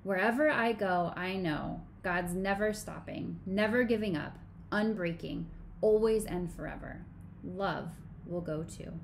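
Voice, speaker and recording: Woman in her 30s reading a kids' bible story at home, soft expressive voice, camera at desk distance, faint hiss. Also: lowish voice, a little slow, creaky. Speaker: children's sermon co-leader. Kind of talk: reading a storybook aloud to children. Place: small home room.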